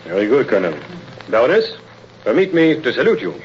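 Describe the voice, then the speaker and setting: Male German officer, formal, clipped, pitch leaping about; muffled old radio drama. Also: huge pitch swings, a little fast, slight background noise. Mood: brisk, formal. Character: stiff, deferential German military officer. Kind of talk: 1930s radio drama acting.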